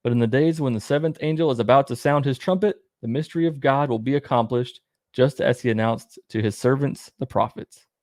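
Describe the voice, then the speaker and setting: A man's voice: medium pitch, a little fast, a little mellow, no room sound, clean studio sound. A man reading aloud and commenting in a plain-spoken, irreverent way, as host of his own show. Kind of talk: read-aloud narration with casual irreverent commentary.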